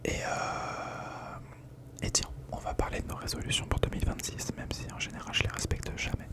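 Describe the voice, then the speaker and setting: A young man whispering a rambling ASMR chat from home, slangy and full of fillers, close to the microphone. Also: normal speed. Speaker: ASMR creator.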